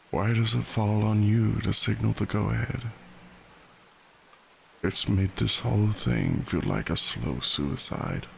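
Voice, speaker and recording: A man reading a visual novel aloud as somber, theatrical narration, with deliberate delivery. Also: very deep voice, creaky, slight background noise. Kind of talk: dramatic second-person scripted narration.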